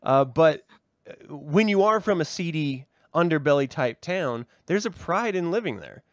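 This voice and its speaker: A man's voice: fairly high pitch, lively intonation, moderate pace, dry recording. American man in reflective conversation, dry close sound. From Ogden, Utah, United States. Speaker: host of a reading and discussion show. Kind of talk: casual conversational discussion.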